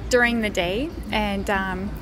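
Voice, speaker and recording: A woman from Minneapolis speaking thoughtfully with pauses in a park interview, into a handheld mic. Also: mid-range voice, fairly even intonation, music in the background. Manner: thoughtful, pausing. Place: outdoors in a park.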